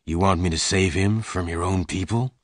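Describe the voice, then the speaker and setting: Man doing a quiet, calm gunman stranger. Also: deep voice, moderate pace, no room sound, clean studio sound.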